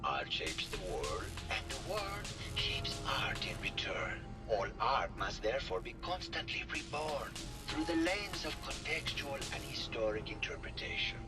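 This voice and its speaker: An actor playing a theatrical, pretentious old robot artist in a video game, with florid, affected, dramatic speech. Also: male voice, medium pitch, big pitch swings, unhurried, in a whisper. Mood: grandly pretentious. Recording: loud music behind the voice.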